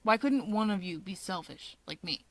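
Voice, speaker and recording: A woman reading fan fiction aloud as an expressive narrator. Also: medium pitch, moderate pace, dry recording.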